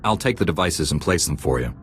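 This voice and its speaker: An actor playing a calm, resolute space knight hero in a video game, speaking in a measured, earnest way. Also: male voice, low-pitched, slight background noise. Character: calm, resolute heroic Jedi knight, adult male. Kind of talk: video game character acting.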